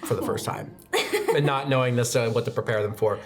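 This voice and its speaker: A woman's voice: a bass voice, rapid-fire. A woman narrating a tabletop roleplaying game as the game master.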